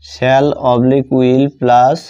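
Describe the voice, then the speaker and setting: A male teacher whose first language is Bengali explains a lesson step by step. His voice is mellow and medium-pitched, and he goes slowly, repeating terms as if writing them out. The recording sounds slightly dull and lo-fi. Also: little pitch movement, clean tone. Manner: deliberate, repetitive, dictating term by term. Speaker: English grammar teacher.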